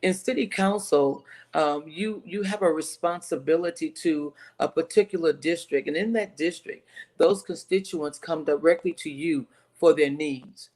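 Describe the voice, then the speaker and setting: A woman's voice: deep voice, moderate pace, darkish tone. A middle-aged woman from Texas, giving earnest, emphatic interview answers over a video call and often repeating phrases. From Texas, United States. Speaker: interview guest, city council candidate.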